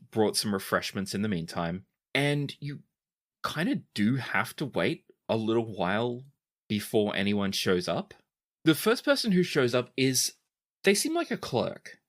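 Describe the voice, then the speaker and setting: A woman improvising narration as the game master of a tabletop game, descriptive and halting. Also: a bass voice, big pitch swings.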